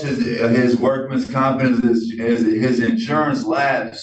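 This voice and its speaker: A man, a defendant answering a judge in a hesitant, halting way full of restarts. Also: mid-range voice. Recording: roomy, distant and dull.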